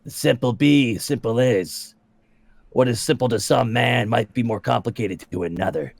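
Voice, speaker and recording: A man voicing a sly, persuasive adventurer who bargains in a smooth, coaxing way. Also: medium pitch, moderate pace, no echo, voice pushed up.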